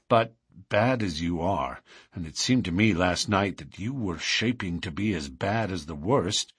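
A man's voice: lowish voice, slightly rough, no echo, clean studio sound. A man voicing a cautious, bitter older man in an audiobook, hesitant and guarded with anguished outbursts. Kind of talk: audiobook character dialogue.

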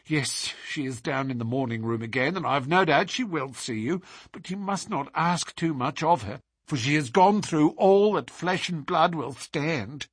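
A man's voice: fairly high pitch, expressive, moderate pace, few pauses, breathy edge, no echo, clean studio sound. A man voicing a stern, loyal Australian lady's maid who speaks bitterly and indignantly in an audiobook story. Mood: chatty, protective. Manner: indignant, outspoken.

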